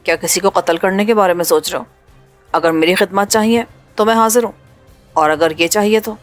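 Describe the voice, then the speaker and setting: Woman voicing a calm, dry male fellow prisoner. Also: medium pitch, faint music behind, pitched below the performer's own voice, lightly acted.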